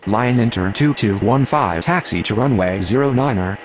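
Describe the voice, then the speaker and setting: A man playing a calm pilot and air traffic controller in a game, giving clipped, formulaic, matter-of-fact radio calls. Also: on the low side, normal speed, natural voice. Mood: calm, procedural. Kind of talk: scripted aviation radio phraseology. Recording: steady engine and ambience noise.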